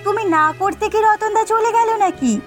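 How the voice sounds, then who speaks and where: A woman voicing a practical, inquisitive village wife in a cartoon story, with an expressive, probing way of talking. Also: extremely high pitch, brisk, faint music behind. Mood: curious. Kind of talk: Bengali cartoon story voice acting.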